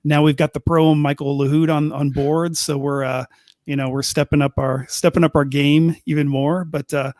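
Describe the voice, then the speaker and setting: A man's voice: fairly high pitch, little pitch movement, normal speed, a little mellow, dry recording, studio quality. A middle-aged man, a longtime sports reporter on a podcast panel, talking casually with lots of restarts. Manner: rambling, many restarts and fillers. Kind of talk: casual chat.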